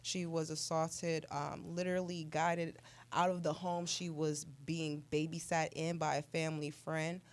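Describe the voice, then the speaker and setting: A woman's voice: low-pitched, little pitch movement, a little slow. A woman speaking earnestly and emphatically in a serious, heartfelt talk show conversation. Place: indoor radio talk show studio.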